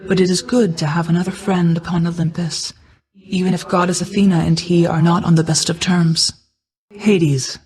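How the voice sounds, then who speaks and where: A woman playing a calm, motherly goddess of night in a video game, speaking in a measured, formal and stately manner. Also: lowish voice, natural voice, rough recording. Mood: cool, firm. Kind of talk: scripted video game character lines.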